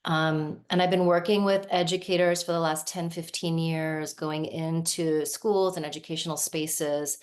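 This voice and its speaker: A woman's voice: sits low, fairly even intonation, unhurried, few pauses. A woman in her forties, originally from Lebanon and raised in the United States, giving a thoughtful workshop talk as a somatic practitioner and often checking in with "right?".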